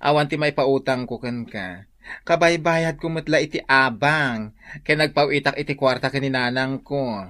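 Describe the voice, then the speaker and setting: A man's voice: medium pitch, moderate pace, a bit bright, close to an everyday voice. A young man playing an opinionated student boarder in a radio drama, exclamatory, with a Filipino accent. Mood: reluctant.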